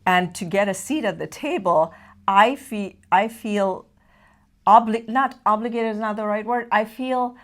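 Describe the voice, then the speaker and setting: A woman's voice: mid-range voice, unhurried, a bit bright. A middle-aged woman speaking earnestly and emphatically in an interview, often repeating words as she searches for the right phrase. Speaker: actor, producer and independent filmmaker. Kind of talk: conversational interview.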